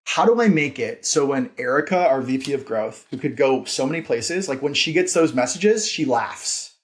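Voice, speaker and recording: A man's voice: mid-range voice, expressive, normal speed. A man speaking as a founder and podcast guest, informal and conversational, repeating phrases for emphasis and leaning on "like". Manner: informal, frequent "like", emphatic repetition. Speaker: company founder and CEO, interview guest.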